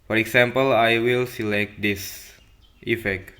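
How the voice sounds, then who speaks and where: A man presenting an instructional software tutorial in simple steps, often checking in with a short tag question. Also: mid-range voice, fairly even intonation, a little slow, very noisy. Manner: simple step-by-step phrasing with frequent "okay?".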